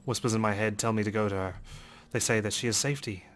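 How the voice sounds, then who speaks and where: A man doing a let's play, reading a game's narration aloud in an expressive, dramatic storytelling way. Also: lowish voice, fast, a little hiss or hum. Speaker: let's player.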